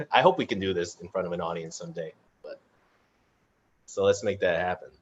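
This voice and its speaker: A man casually moderating a panel chat, informal and halting as he thinks aloud. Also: deep voice, lo-fi sound. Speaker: cast member moderating a live audience Q&A.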